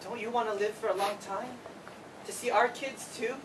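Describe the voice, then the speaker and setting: A woman's voice: mid-range voice, fairly even intonation, normal speed, natural voice. A woman in a staged play reading, playing a teasing eighteen-year-old sibling. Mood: concerned. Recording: distant, noisy live stage recording.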